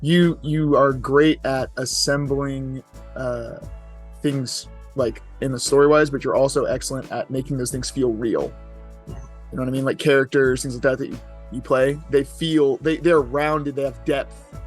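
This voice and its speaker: A man co-hosting a casual livestream, talking haltingly as he searches for words and laughing often. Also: mid-range voice, steady tone, faint music behind. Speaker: podcast co-host.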